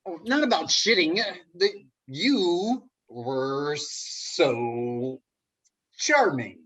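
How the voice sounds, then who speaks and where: A man acts as a lovelorn, defensive guy in a stage comedy reading. He is husky and unhurried, stammering and hesitant, with lively jumps in pitch when exasperated. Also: heavily acted. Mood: awkward, wistful. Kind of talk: play table read. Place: remote video call reading.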